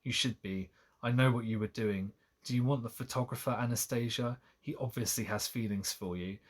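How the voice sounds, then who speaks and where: A man voicing a brooding, jealous and possessive male lover from a romance novel, in an intense, halting delivery. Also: mid-range voice, close to an everyday voice.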